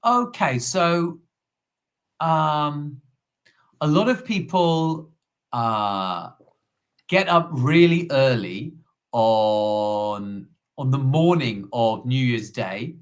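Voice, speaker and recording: Slow, deliberate man with a lively pitch. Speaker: co-host, quiz presenter. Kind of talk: casual live-stream chat, reading quiz questions.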